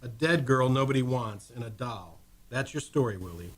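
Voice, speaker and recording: A world-weary male morgue attendant, voiced by a man, in an old-time radio drama. He speaks plainly and gruffly in a mid-range voice. Death is routine work to him. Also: medium pitch, animated, moderate pace. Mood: grim.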